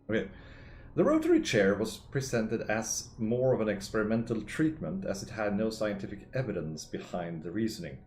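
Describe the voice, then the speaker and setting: A man streaming a video game, talking casually and excitably, with a gravelly, hoarse voice from shouting. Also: sits low. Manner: chatty, excitable, reading lore aloud. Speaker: gaming streamer. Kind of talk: casual gameplay commentary. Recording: echoey room with faint noise.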